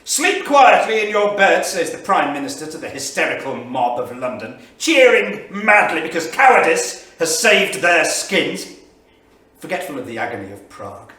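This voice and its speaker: An actor playing an anguished, indignant 1930s English diarist, emphatic and declamatory, in a staged reading. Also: male voice, on the high side, sing-song, moderate pace, bright, forceful tone. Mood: scornful, outraged. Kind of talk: dramatic staged reading of a journal. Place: small theatre studio. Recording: echoey theatre, distant mic, faint noise.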